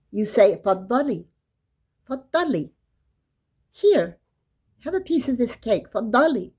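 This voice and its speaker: A woman's voice: mid-range voice, a little mellow. A woman giving a casual religious lesson, explaining verses and reciting Arabic. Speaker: Quran teacher.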